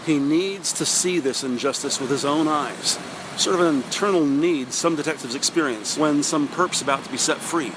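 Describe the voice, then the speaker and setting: A man's voice: fairly high pitch, background noise. A man narrating a scripted audio drama with dramatic, present-tense storytelling.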